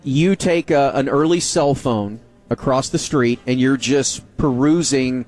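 A man's voice: medium pitch, measured pace, a little mellow. A man co-hosting a radio show, prompting the storyteller with questions. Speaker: radio co-host. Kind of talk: casual radio chat.

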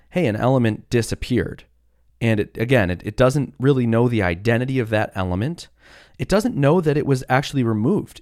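A man hosting a casual solo podcast, sick with a cough that has deepened his voice, rambling with many fillers and restarts. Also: no echo, studio quality. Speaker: podcast host.

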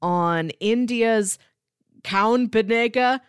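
A woman co-hosting a podcast in a casual, informal chat, describing things in a conversational and animated way. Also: a little high, very slow, clear, resonant tone, dry recording, studio quality. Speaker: podcast co-host. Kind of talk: casual chat, pitching movies.

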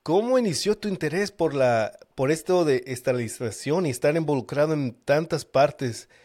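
A man's voice: a little high, lively intonation, moderate pace, darkish tone. A man hosting a podcast interview, asking long, conversational, winding questions. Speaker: podcast host. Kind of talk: casual interview.

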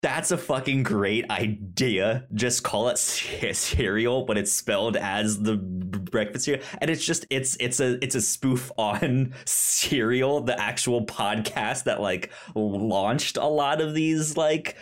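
A man hosting a casual podcast, speaking in a halting way and often repeating the starts of words. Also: medium pitch. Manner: halting, repeats word beginnings. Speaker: podcast host.

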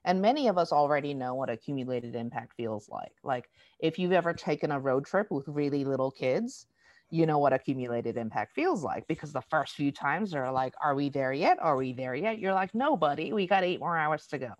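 An American woman speaking in an interview, explaining things in an animated, storytelling way and acting out quoted dialogue. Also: low-pitched, fairly quick, no echo, muffled recording. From United States. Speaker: diversity educator. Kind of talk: conversational interview.

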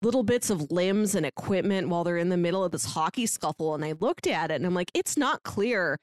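A woman co-hosting a podcast, casually discussing a book in a reflective, analytical way, pausing to find words. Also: lowish voice, lively intonation, no echo. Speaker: podcast co-host.

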